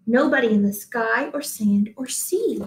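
A woman in her thirties reading a picture book aloud to children, deliberate and expressive, pausing to address listeners at home. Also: on the high side. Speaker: read-aloud host, teacher. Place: small indoor office-like room. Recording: echoey small room.

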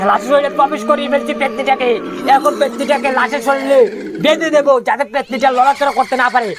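An actor playing a stern, commanding village exorcist in a horror cartoon, chanting and repeating imperious orders. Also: male voice, extremely high pitch. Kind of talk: Bengali horror cartoon voice acting.

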